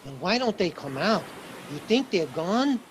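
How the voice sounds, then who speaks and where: Man acting fretful, easily rattled, animated fellow. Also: sits high, a little slow, very noisy. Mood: doubtful. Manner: anxious, fussy, questioning. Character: fretful, easily rattled male owner of haunted house. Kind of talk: radio drama acting.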